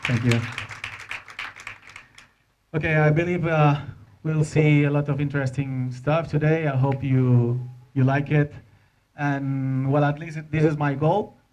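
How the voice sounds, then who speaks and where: A man in his thirties with a Spanish accent gives an informal conference talk as an animator, speaking halting non-native English into a handheld microphone. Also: on the high side, steady tone, a little mellow, muffled recording, a faint crowd. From Spain. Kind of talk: informal presentation to an audience. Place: indoor hotel conference room.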